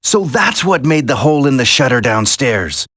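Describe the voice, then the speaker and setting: An actor playing an earnest, determined young special-forces soldier in a dramatic video game. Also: male voice, mid-range voice, sing-song, normal speed, a bit bright, slightly rough, no room sound, studio quality. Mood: calm, dry.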